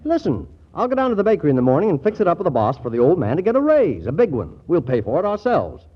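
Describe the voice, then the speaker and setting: A man's voice: a little high, wildly swooping pitch, fast, husky, full character voice. An actor playing a breezy young ladies' man in 1940s radio comedy, a glib, wheedling schemer. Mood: breezy, scheming. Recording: dull 1940s radio recording.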